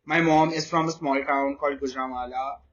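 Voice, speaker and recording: A man's voice: medium pitch, a little fast. A young man from Pakistan, a podcast guest, chatting casually and rambling with lots of fillers. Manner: relaxed, rambling, many fillers. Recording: slightly dull lo-fi audio.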